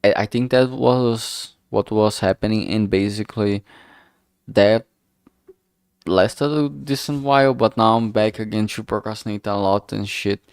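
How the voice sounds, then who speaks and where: Low-voiced man, casual halting streamer. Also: smooth, no room sound. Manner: frank, heavily swearing, halting with self-corrections. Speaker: streamer, game developer. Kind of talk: casual rambling livestream chat.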